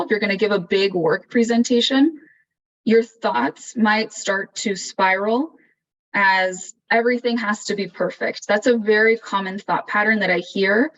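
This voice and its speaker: A woman therapist and author with a soft voice, calmly explaining ideas during a video call panel conversation. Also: mid-range voice. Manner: calm, explanatory, measured. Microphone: video call audio. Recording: muffled distant video call audio.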